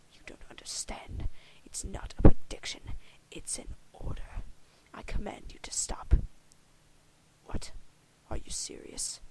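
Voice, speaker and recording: Woman doing a stuck-up bossy alien troll, loud hoarse whisper, super formal. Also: medium pitch, heavily acted, low-quality recording. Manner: pompous, scolding, formal wording. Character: haughty, scolding alien troll, imperious and formal.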